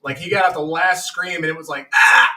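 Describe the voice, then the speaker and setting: A man in the band, telling a story with excitement in casual chat. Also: fairly high pitch, fairly quick, very noisy. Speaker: band member. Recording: distant mic.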